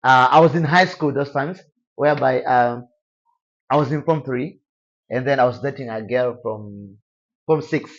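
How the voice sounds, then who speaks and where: Soft-spoken young Tanzanian man. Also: mid-range voice, normal speed, lo-fi sound. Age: young adult. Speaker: guest, DJ. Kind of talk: casual interview chat.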